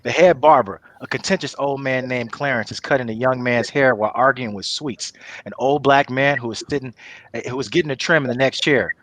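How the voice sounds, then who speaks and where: A man's voice: mid-range voice, brisk, fairly bright tone. A man reading stage directions as narrator of a comedy table read.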